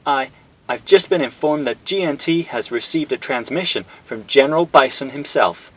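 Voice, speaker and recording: A man's voice: mid-range voice, lively intonation, moderate pace, breathy edge, voice pushed up. A man voicing a young female news reporter delivering a live broadcast. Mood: flustered.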